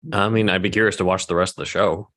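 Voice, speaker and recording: A man explaining his thoughts in a measured way in a casual group discussion. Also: deep voice, steady tone, a bit bright.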